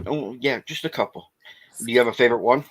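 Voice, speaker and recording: A man in his forties, co-hosting a casual interview, halting and restarting often. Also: medium pitch, fairly bright tone, low-quality recording. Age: 40s. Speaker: co-host.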